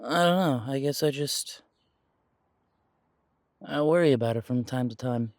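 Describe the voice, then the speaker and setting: A man playing an insecure, defensive werewolf in an audio roleplay, speaking in a halting, stammering way as if confiding in someone close. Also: mid-range voice, a little mellow, dry recording, close to an everyday voice. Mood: worried, confiding. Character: insecure pure-blood male werewolf, self-doubting, defensive. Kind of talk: audio roleplay drama, one side of an intimate conversation.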